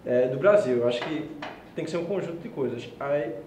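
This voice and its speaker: A man in his twenties, a law student on a debate panel, speaking in a measured way and weighing both sides. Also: fairly high pitch, animated, darkish tone, reverberant, slight background noise, low-quality recording. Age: 20s.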